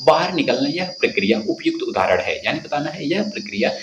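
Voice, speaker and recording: A man's voice: fairly high pitch, very animated, fast talker, lo-fi sound. A man teaching an exam quiz lesson, speaking directly to students and repeating questions and answers. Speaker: exam-prep instructor. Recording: echoey room, loud insect-like background noise.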